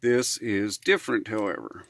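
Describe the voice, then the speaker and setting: A man's voice: fairly low pitch, lively intonation, normal speed, creaky, a bit bright, a little hiss or hum. An American man talking casually in a how-to vlog, in a rambling, hesitant, folksy way. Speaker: RV living vlogger.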